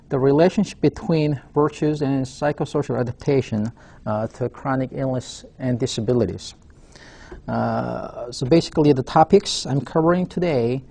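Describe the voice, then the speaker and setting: A man in his forties from South Korea with a Korean accent, a professor giving a webcast lecture in a halting way, filling his pauses with "uh". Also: medium pitch, vocal fry, warm tone. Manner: halting, frequent "uh" fillers. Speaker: university professor.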